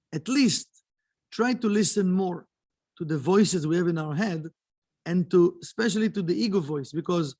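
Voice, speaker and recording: A man's voice: high-pitched, darkish tone. A man teaching a kabbalah study lesson, earnest and repeating phrases for emphasis. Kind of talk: early morning study lesson.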